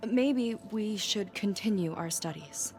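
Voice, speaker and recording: A woman's voice: mid-range voice, takes it slowly, a little mellow, close to an everyday voice. A woman playing a caring, concerned young companion in a naturalistic video game cutscene. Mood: gentle. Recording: music clearly behind the voice.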